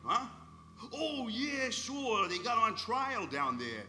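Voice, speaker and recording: A man's voice: very high-pitched, very animated, takes it slowly, pushed and bright, soft background music, heavily acted. A man playing a chatty, colloquial working-class postman in a live radio play. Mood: chatty, amused. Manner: colloquial, folksy.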